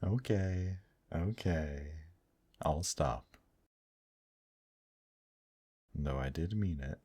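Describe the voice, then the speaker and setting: A man voicing a gentle, teasing male demon in an intimate ASMR roleplay, speaking softly and courteously to the listener. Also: extremely low pitch, breathy edge, no room sound, studio quality, heavily acted. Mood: sincere, tender. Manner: slow, soft, courteous, affectionate teasing with endearments. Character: gentle, teasing male demon brothel worker, tender and attentive.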